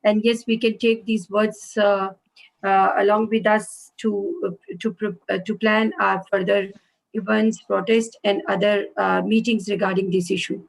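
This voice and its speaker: A woman's voice: medium pitch, little pitch movement, a little slow, creaky, low-quality recording. A woman moderating an online meeting over a video call, polite and full of hesitations. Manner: polite, many hesitations and fillers.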